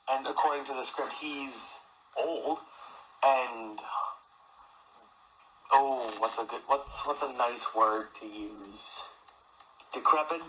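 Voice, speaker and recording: A man giving casual commentary as the producer of an audio drama, halting and repeating his phrases. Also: mid-range voice, pushed and bright, slight background noise, low-quality recording.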